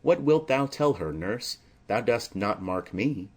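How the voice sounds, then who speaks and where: A man's voice: lowish voice, animated, unhurried, breathy edge. A man reading the part of an earnest, lovestruck young nobleman in a formal, poetic, insistent manner for a tragedy audiobook.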